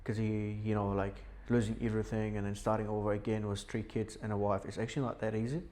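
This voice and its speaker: A young man who grew up in Syria, a podcast guest telling his life story in a relaxed, chatty way with frequent fillers. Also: lowish voice, quick pace. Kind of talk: casual podcast interview. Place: indoor podcast setup.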